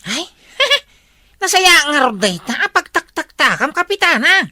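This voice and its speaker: A woman with an Ilocano accent playing a nosy, excitable, complaining villager in a radio comedy drama. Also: sits high, measured pace, no echo, exaggerated voice. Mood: excitable, curious.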